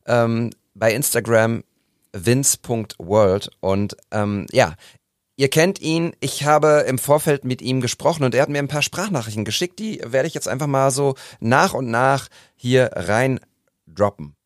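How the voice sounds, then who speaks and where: A man's voice: mid-range voice, unhurried, no room sound, studio quality. A man hosting a podcast, talking casually with many hesitations and fillers. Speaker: podcast host.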